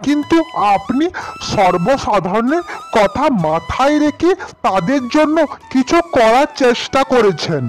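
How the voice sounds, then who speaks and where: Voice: medium pitch, lively intonation, a little slow, faint music behind, close to an everyday voice. A performer voicing a loyal, grateful lamp genie who speaks formally and respectfully to a master in a fairy-tale cartoon. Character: grateful, loyal male lamp genie, later a freed prince.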